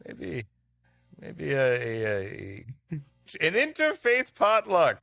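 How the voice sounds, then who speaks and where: Male story reader, podcast host, expressive, comic banter, bright voice, dry recording, faint music. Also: medium pitch, slow, gravelly, no echo.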